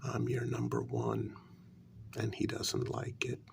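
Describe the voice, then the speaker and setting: A man playing a vampire queen's devoted, aging human consort in a soft-spoken ASMR role-play, speaking slowly and deliberately in a breathy half-whisper. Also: mid-range voice, sing-song, heavily acted. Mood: earnest, hushed. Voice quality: breathy, creaky. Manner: slow, deliberate, half-whispered, with lingering pauses.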